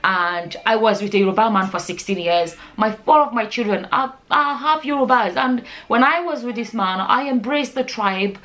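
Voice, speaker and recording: A woman's voice: on the high side, normal speed, few pauses, fairly bright tone, rough recording. A woman of forty from Nigeria chatting informally as a vlogger, often repeating words and phrases for emphasis. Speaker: vlogger, channel host. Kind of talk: casual chatty vlog answering viewer questions.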